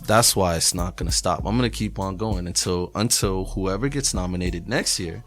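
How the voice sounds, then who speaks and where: A man's voice: low-pitched, normal speed, faint music behind. A man with an American accent speaking casually and candidly as a podcast guest, emphatic and frustrated in his delivery. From New England, United States. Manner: conversational, frustrated, emphatic, swearing. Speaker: podcast guest, hip hop artist.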